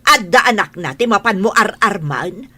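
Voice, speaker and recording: Woman acting bright-voiced, blunt older matriarch. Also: mid-range voice, lively intonation, normal speed. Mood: scolding. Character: scolding, blunt older aunt or mother. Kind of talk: radio drama acting.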